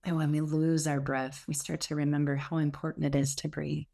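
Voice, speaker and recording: A woman leading a guided meditation as the teacher, speaking in a gentle, soothing, unhurried way with pauses. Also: deep voice, level tone, vocal fry.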